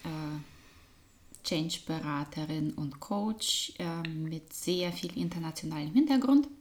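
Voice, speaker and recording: Russian-accented woman, coach on a podcast, soft and thoughtful, warm mellow tone. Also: low voice, takes it slowly. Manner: thoughtful, soft-spoken, drops to near-whispers. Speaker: business trainer, change consultant and coach. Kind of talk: guest in a conversational podcast.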